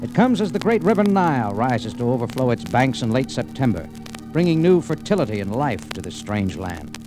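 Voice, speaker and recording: An actor playing a hardboiled American adventurer, narrating and talking tersely and wryly in 1940s radio noir style. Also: male voice, medium pitch, sing-song, normal speed, music in the background, natural voice, rough recording. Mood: wry narration. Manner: terse, world-weary, wry.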